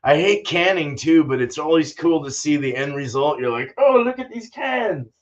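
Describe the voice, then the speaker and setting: A man's voice: on the high side, animated, moderate pace, muffled recording. An older man chatting casually and laid-back on a livestream, rambling and swearing freely, saying dude and man often. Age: older, fifties or sixties. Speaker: livestream host, homebrewer. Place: small indoor home brewing room.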